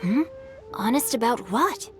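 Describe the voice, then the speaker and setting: A woman's voice: a little high, expressive, unhurried, soft background music. A woman voicing a polite, bookish young boy in a video game, articulate and earnest. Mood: flustered.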